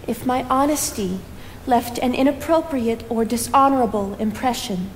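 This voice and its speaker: Actress as a sincere, high-voiced young period woman. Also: normal speed, close to an everyday voice. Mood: sincere, contrite. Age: young adult. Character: sincere, spirited young 19th-century woman. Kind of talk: theatrical stage acting. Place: theatre stage, indoors. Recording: live theatre, reverberant, faint noise.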